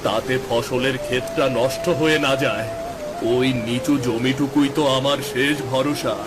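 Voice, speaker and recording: An anxious village farmer and gentle father played by a man, mid-pitched and plaintive. It is a cartoon voice with clear background noise. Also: fairly even intonation, moderate pace, natural voice. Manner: worried, plaintive. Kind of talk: Bengali cartoon voice acting.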